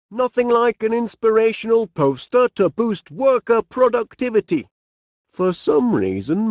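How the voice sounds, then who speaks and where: A man voicing a genial, polite, eccentric middle-aged inventor with a Northern English accent in an animated comedy game. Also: sits high, no room sound, clean studio sound, heavily acted. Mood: cheerful, pleased. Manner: polite, quaint British turns of phrase, drawn-out words. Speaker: video game voice actor.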